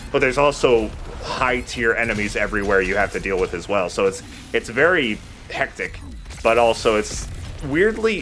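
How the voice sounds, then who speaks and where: A man's voice: fairly low pitch, a bit bright. A man giving casual commentary as he plays a video game, chatting and swearing lightly. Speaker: let's play host playing the game. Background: video game sound.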